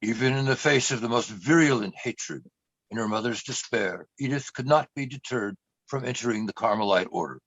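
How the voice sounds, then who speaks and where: An earnest storyteller narrates a radio drama, voiced by a man. His medium-pitched voice is grave, captured very dry and a little dull. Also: normal speed, fairly bright tone, no room sound, natural voice. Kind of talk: scripted radio play narration.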